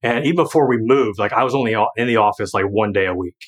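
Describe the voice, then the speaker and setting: A man in his thirties talks in a loose, rambling way, full of fillers, restarts and easy laughs. His voice sits a little low. It is a relaxed podcast conversation. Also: fast, slightly rough. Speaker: guest, former financial planner and creator.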